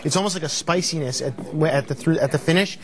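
A man in his late twenties, a chef guest chatting easygoingly through a cooking demo on a studio kitchen set, with a lapel mic and halting restarts. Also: on the high side, slight background noise. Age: late 20s.

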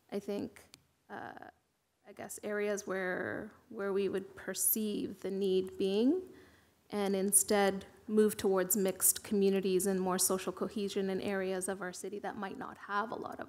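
A woman councillor asking a long, halting question in a council meeting, her voice a little worn. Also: mid-range voice, gravelly. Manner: measured, halting with fillers. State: losing her voice. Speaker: city councillor. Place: council chamber.

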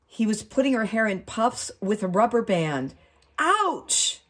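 A woman's voice: medium pitch, husky. A middle-aged woman reading a picture book aloud to children, expressively, with chatty asides and questions to her listeners. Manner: expressive, drawn-out phrasing with questions to young listeners. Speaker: community storytime reader. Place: small wood-panelled room indoors.

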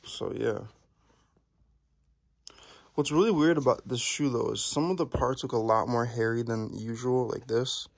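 Young man, vlogger, casual rambling chat, mid-pitched voice, filler-heavy. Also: a little slow, creaky, pauses often.

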